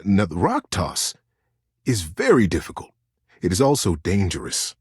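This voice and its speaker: Amiable bombastic crab shopkeeper, voiced by a man: fatherly storybook figure, hugely expressive pitch, deliberate and encouraging, dry recording. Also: husky, no room sound, heavily acted. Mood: grave, concerned. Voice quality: booming. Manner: hearty, deliberate, kindly. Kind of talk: storybook character voice.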